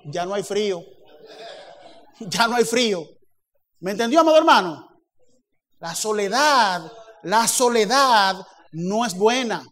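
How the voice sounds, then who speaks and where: A man's voice: sits high, expressive, slow, long pauses, fairly bright tone. A man preaching a sermon, acting out little dialogues and building to emphatic peaks. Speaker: pastor.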